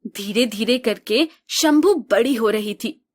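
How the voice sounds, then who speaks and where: Woman narrating a village story, slow and even, a bit high.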